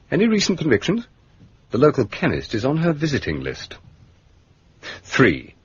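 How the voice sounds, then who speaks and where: An actor playing an urbane, shrewd gentleman detective in a British radio drama, with an upper-class English accent and polished, drily understated manner. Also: on the low side, breathy edge, no echo, full character voice. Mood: brisk, methodical. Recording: muffled, dull radio drama recording.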